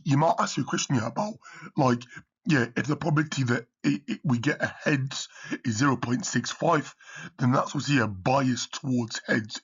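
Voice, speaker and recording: Man, croaky voice, husky, exam revision walkthrough, muffled audio, keeps saying okay and yeah. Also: mid-range voice. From United Kingdom. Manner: repeats "okay" and "yeah" often. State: croaky, partly lost voice. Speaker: maths tutor.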